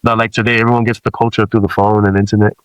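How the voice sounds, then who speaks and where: A man in his forties who grew up in Samoa, a rapper guest telling stories in relaxed, casual talk with many fillers. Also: lowish voice, rapid-fire, clean tone, no room sound, low-quality recording.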